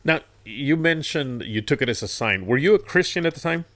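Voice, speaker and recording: A man's voice: fairly high pitch, expressive, a little fast, a bit bright, no room sound. Guy hosting an interview show, cheery and jokey. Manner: jokey, upbeat. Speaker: host. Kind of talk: friendly interview.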